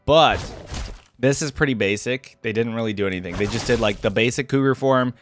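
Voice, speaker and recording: A man's voice: mid-range voice, brisk, faint music behind. A man giving casual, off-the-cuff game reaction commentary, rambling as he thinks aloud. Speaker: reaction video host.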